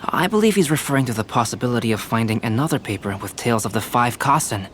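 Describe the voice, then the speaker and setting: A man playing a composed, courteous young artist-scholar in a video game, speaking in measured, formal and precise phrases. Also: medium pitch, lively intonation, fairly bright tone, slight background noise, natural voice. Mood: pensive. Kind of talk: video game character acting.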